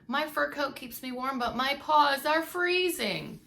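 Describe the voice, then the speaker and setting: A woman's voice: high voice, animated, a little slow, creaky, sharp tone, a little hiss or hum, pitched above the performer's own voice, full character voice. A woman voicing a friendly, concerned male otter in a read-aloud storybook. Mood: friendly, chilly.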